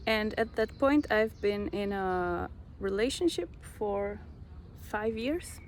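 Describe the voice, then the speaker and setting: A young Czech woman with a Czech accent, slowly sharing a personal spiritual story with many pauses. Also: mid-range voice, animated, a little hiss or hum. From Czech Republic. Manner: slow, reflective, pausing often. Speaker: vlogger.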